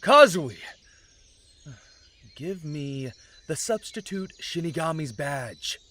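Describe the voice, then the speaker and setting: A man's voice: fairly high pitch, expressive, takes it slowly, fairly bright tone. A man playing a hot-headed, stubborn young father, exasperated and grumbling, in an anime fan audio drama.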